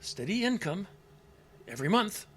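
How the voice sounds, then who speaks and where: A man's voice: high voice, sing-song, moderate pace, a bit bright, a little hiss or hum. An actor playing an elderly man in an audio drama, anxious and guilt-ridden, with a hesitant, stammering, pleading delivery. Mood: nervous pleading. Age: older.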